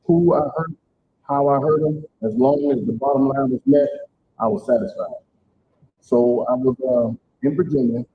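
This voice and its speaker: A middle-aged man, telling his personal story emphatically to a live audience at a church event. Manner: storytelling, emphatic, plain-spoken. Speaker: speaker at community event. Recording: tinny phone-like sound, faint rumble.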